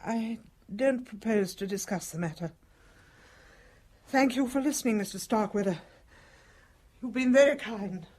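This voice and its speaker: An older woman playing a dying British matriarch in a radio drama, speaking in a measured, formal, guarded way. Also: mid-range voice, darkish tone, husky. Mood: guarded, composed. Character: composed, dying elderly matriarch with secrets.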